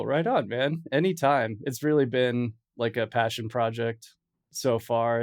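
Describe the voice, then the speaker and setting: A man hosting a podcast interview, chatting in a relaxed, casual way with plenty of filler words. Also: mid-range voice. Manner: laid-back, casual, lots of "like" and "um". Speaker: podcast host.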